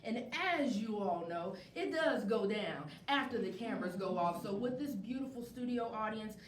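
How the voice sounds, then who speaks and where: A woman hosting a live community interview show before a studio audience. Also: moderate pace. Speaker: talk show host. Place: indoor venue with studio audience. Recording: echoey room, distant mic, faint rumbling noise.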